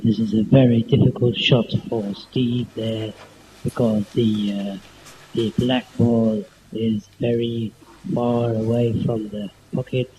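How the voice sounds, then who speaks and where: A teenage boy voicing a bemused snooker commentator in an amateur spoof, measured and halting. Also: medium pitch, warm tone, voice pushed down, lightly acted. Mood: measured, focused. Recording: dull, noisy lo-fi home tape.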